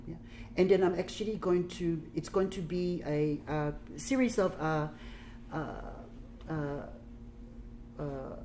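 A woman's voice: low-pitched, dark tone, a little hiss or hum. A woman in her forties with a Samoan accent, chatting casually as a guest artist at a talk, full of fillers. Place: gallery room, indoors.

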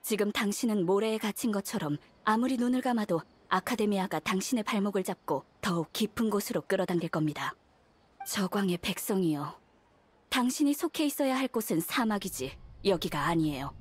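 A woman's voice: medium pitch, expressive, brisk, husky. A woman playing a polite, composed and ominously persuasive believer in a game drama. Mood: menacing.

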